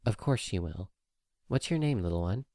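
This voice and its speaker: A man voicing a worried, kindly female unicorn medical officer in an audio story, speaking gently and carefully as if to a child. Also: on the low side, expressive, normal speed, no room sound, studio quality, lightly acted. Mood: gentle, urgent.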